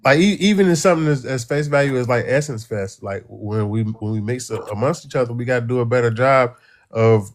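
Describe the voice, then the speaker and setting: Man caller talking in halting restarts. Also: medium pitch. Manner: halting, many restarts and fillers, earnest. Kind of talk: casual opinion on a call.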